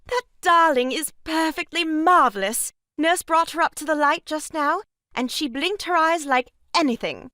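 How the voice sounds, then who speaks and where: An actress playing a married sister-in-law in a stage farce, brisk, gushing and self-important, with a sharp tongue. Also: female voice, sits high, dry recording, studio quality. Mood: gushing, huffy. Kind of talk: stage farce audio drama acting.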